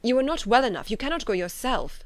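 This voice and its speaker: A woman reading an audiobook as a compassionate, reserved young gentleman with an earnest, formal way of speaking. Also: on the high side, animated, quick pace, no echo, pitched above the performer's own voice, close to an everyday voice. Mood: gentle concern.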